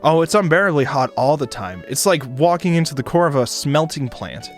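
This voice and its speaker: A man's voice: fairly high pitch, sing-song, fairly quick, soft background music. Man playing a wry space-travelling drifter. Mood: wry, laid-back. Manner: casual, dryly wry. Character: wry, broke, laid-back space shuttle passenger. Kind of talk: visual novel character reading.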